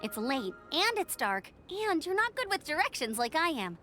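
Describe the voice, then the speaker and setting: An upbeat young scout talks eagerly in a high voice that leaps around. Game music sits faintly underneath. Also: female voice, sing-song, moderate pace, bright, forceful tone, exaggerated voice. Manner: eager and friendly.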